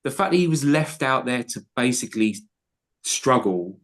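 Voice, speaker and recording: A man's voice: mid-range voice, lively intonation, normal speed. A man co-hosting a casual football podcast, talking passionately in long rambling runs full of restarts. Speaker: podcast co-host.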